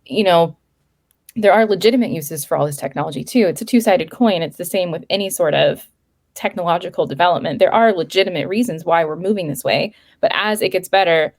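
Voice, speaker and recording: Low woman's voice, bit gravelly, chatting and explaining as a guest. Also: brisk. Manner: explanatory, conversational. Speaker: threat intelligence analyst guest. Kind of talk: casual interview chat.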